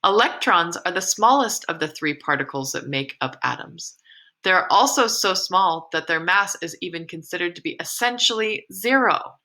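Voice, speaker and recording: A woman's voice: lowish voice, bright, forceful tone. A woman teacher reading a science article aloud to her students, explaining along the way and correcting her own slips. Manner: encouraging, explains as she reads, with slips and self-corrections.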